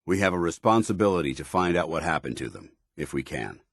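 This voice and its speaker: A stern, duty-bound military officer from a video game. His voice is extremely low and rough-edged, recorded dry and close. He speaks in clipped, formal phrases with the weight of command. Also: very animated, fast, no echo, exaggerated voice. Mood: resolute, solemn. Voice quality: deep, gravelly. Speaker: video game companion character. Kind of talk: scripted video game dialogue.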